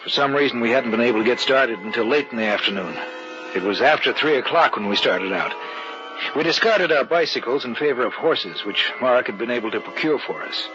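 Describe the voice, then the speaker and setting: An actor with an American accent playing a stubborn, sceptical husband who also narrates an old radio horror drama. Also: male voice, mid-range voice, lively intonation, a little fast, natural voice. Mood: narrating gravely. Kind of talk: 1940s-50s radio drama acting and narration. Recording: dull hissy old radio recording.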